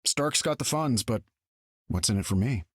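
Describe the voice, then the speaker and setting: An actor playing a rich playboy inventor hero in a video game, breezy, smug and teasing. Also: male voice, medium pitch, lively intonation, normal speed, husky, no echo, clean studio sound. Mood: smug, flirtatious.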